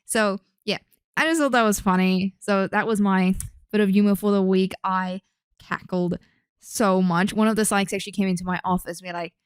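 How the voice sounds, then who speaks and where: A woman's voice: medium pitch, fairly even intonation, moderate pace, smooth, dry recording, clean studio sound. A young Australian woman hosting a casual solo podcast as a speech therapist, chatty and rambling with many fillers. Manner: chatty, rambling, with frequent fillers and self-corrections.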